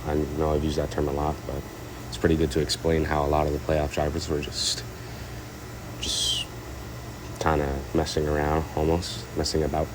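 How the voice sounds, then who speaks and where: A man's voice: rumbling low, completely flat delivery, moderate pace, background noise. A man giving a casual, rambling recap of a stock car race, stuffed up and hesitant, full of fillers and restarts. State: congested, stuffed up. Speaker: racing commentator.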